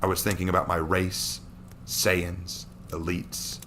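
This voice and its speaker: A man's voice: deep voice, level tone, takes it slowly, fairly bright tone, slight background noise. A man reading a dramatic action story aloud as its narrator.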